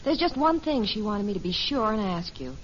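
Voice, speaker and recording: An actress playing a warm, attentive middle-aged wife and mother in a 1950s radio sitcom, gently conversational. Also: mid-range voice, very animated, fairly quick, close to an everyday voice. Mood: warm, curious. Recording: muffled old radio recording, faint hiss.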